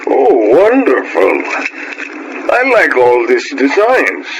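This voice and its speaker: A man's voice: fairly high pitch, wildly swooping pitch, slow pace, exaggerated voice. A man playing a friendly, impressed foreign tourist in a radio drama, speaking effusively. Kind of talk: radio drama acting in English. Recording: noisy radio drama background.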